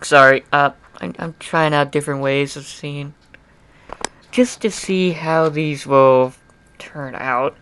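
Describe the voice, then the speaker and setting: A man's voice: on the high side, steady tone, slow, a bit bright, a little hiss or hum. A young man giving an amateur dramatic reading of fan fiction, informal and often breaking off into chatty, apologetic asides. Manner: informal, self-interrupting, apologetic.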